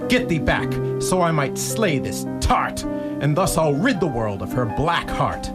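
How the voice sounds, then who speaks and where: Man acting a lordly suspicious knight, radio play verse, dramatic pitch swings, loud guitar behind. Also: big pitch swings, measured pace. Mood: accusing. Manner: declamatory, archaic diction. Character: stern, suspicious medieval knight, lordly and quick to condemn. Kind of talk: rhyming verse radio drama in mock-Shakespearean English. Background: guitar accompaniment.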